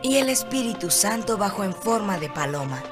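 Animated woman storyteller. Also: medium pitch, normal speed. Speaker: narrator. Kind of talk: scripted storytelling narration of a Bible cartoon. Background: background music.

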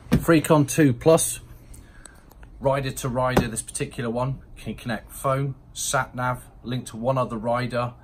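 A middle-aged man talks casually through a product demonstration at a shop counter. His pitch is average for a man, and clear background noise and shop ambience surround his voice. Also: steady tone, measured pace. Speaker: motorcycle shop presenter. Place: motorcycle accessories shop, indoors, at the counter.

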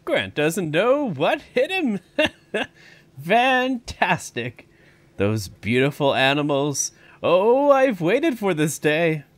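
An excitable elderly park owner with a grandfatherly air, as a man acts him out. His pitch swoops all over the place, and he draws his words out slowly. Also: full character voice. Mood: delighted. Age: older. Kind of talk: fan audio drama acting.

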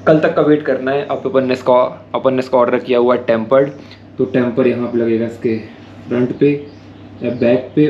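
Chatty young man, flat even delivery. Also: medium pitch, lo-fi sound, slight background noise. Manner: chatty, explaining step by step. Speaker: motovlogger. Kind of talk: casual gear-review vlog. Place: small home room indoors, later riding a motorbike on city streets. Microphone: action camera with attached mic.

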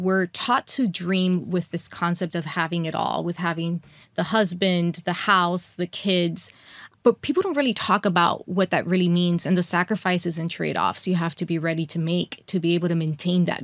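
A young adult woman hosting a podcast, articulate and smooth as she sets up questions for guests. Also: on the low side, fairly even intonation, moderate pace, no room sound. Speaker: podcast host. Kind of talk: podcast interview.

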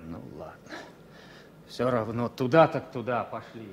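An actor playing a shell-shocked former pilot, wry, grumbling and resigned, halting and ironic in a radio drama. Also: male voice, medium pitch, expressive, slight background noise, close to an everyday voice. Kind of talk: 1970 Soviet radio drama acting.

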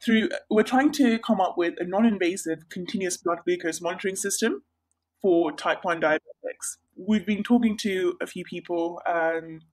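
A young woman from Sri Lanka, a healthcare researcher, answering interview questions in a bright, chatty way with many pauses and restarts. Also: lowish voice, rough recording. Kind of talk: casual interview.

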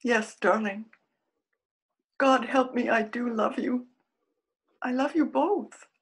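An older actress playing a loving, torn married woman in a period radio drama, heartfelt and halting. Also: a little high. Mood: torn, pleading. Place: room at home, video call.

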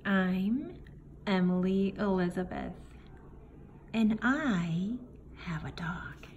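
Female story reader for young kids, very unhurried and deliberate, recorded indoors with slight background noise. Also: medium pitch, expressive, highs cut off. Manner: deliberate, simple phrasing with pauses between phrases. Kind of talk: picture book read-aloud for young children. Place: indoor room, book filmed on a table. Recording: faint room noise behind the voice.

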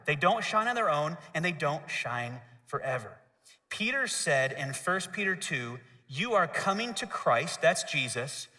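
A man in his thirties giving a children's sermon on a church stage, asking the audience to repeat after him. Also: a little high. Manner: lively, gestures and asks the audience to repeat. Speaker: pastor. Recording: hall reverb.

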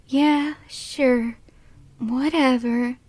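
A woman voicing an indifferent cartoon hospital receptionist with a deadpan drawl. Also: sits high, very slow, exaggerated voice. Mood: bored, indifferent.

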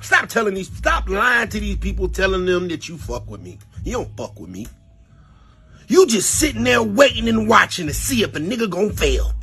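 A man vlogger ranting to camera in a mocking, defiant way, with a raspy voice. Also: sits high, sing-song, moderate pace, a bit bright, soft background music. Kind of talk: outro rant to camera.

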